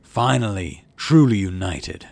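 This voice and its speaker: A man's voice: on the low side, lively intonation, unhurried, vocal fry, no echo, exaggerated voice. A man voicing a bombastic young colony governor giving rousing, declamatory speeches in a video game. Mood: rousing, fervent. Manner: declamatory, rousing political oratory.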